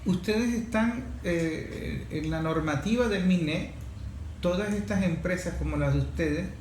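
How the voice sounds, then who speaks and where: Higher-voiced male interviewer, echoey room. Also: unhurried, a little mellow. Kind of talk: conversational interview. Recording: echoey room with faint background noise.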